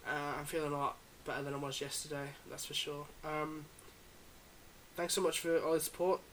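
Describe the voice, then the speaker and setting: A man's voice: on the high side, flat delivery, normal speed, a bit bright, a little hiss or hum, low-quality recording. A man giving a casual, hesitant update to his followers as a channel creator, sounding slightly under the weather. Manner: informal, hesitant. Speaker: ASMR voice performer, channel creator.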